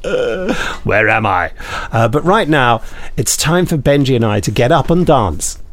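A man's voice: mid-range voice, sing-song, unhurried, no echo. A man co-hosting a podcast, chatting and reading out reviews in a jokey, rambling, digressive way. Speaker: podcast co-host.

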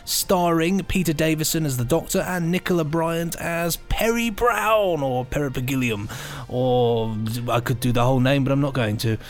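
A man's voice: a little high, normal speed, few pauses, faint music behind. A man presenting a podcast segment in a light, chatty style, with a playful mock-grand manner. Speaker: podcast co-host.

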